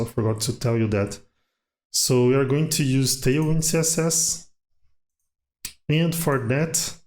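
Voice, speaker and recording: A man's voice: medium pitch, darkish tone. A man whose first language is Portuguese, hosting a casual coding tutorial and thinking aloud with many fillers as he works.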